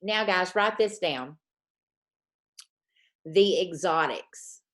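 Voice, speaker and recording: A woman teaching a trading lesson, dictating slowly and repeating points, often checking in with "okay?". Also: on the low side, lively intonation. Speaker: forex trading coach.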